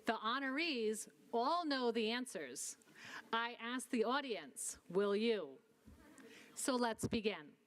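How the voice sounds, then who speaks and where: A woman's voice: fairly high pitch, lively intonation, takes it slowly, a bit bright. Upbeat woman hosting a ceremony. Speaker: ceremony host. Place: school hall at a citizenship ceremony.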